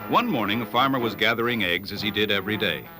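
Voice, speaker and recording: A man playing a storybook narrator, telling moral fables in a measured, expressive scripted style. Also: on the low side, music in the background, natural voice. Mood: storytelling.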